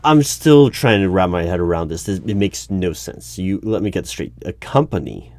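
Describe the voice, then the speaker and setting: A man playing an awkward, officious hiring manager in an ASMR interview roleplay, speaking formally with halting stammers and over-polite phrasing. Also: sits low, animated, a little mellow, no echo. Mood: puzzled, probing. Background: computer keyboard typing.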